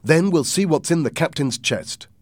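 A man's voice: a little high, lively intonation, normal speed, dry recording, pitched above the performer's own voice. A man voicing an earnest, resolute young boy with urgent, plain-spoken delivery in a storybook reading.

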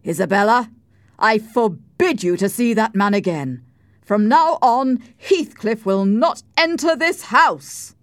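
A woman voicing a stern, commanding gentleman in an audiobook, a protective head of the house. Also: deep voice, wildly swooping pitch, normal speed, hardly any pauses, no room sound, clean studio sound. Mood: angry. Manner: forceful, commanding. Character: stern, angry gentleman, protective brother and husband. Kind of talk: audiobook character voice.

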